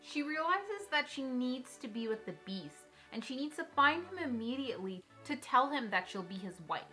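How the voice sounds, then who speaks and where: A woman storyteller with a bright, slightly high voice. She hosts in a casual, chatty and expressive style, with music playing behind her. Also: moderate pace. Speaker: video host and storyteller. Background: background music.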